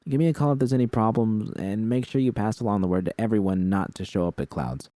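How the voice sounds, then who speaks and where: A man's voice: lowish voice, fast talker, dark tone, no echo, close to an everyday voice. A man voicing a streetwise, protective young woman in a story, with blunt, slangy, reassuring talk. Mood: reassuring, firm.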